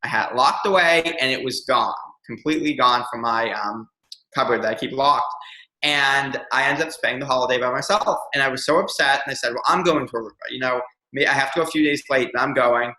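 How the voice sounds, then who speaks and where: Interview guest: young man, earnest and reflective, crisp bright voice, roomy echo. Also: mid-range voice, a little fast. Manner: earnest, reflective, many ums. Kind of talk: candid personal storytelling.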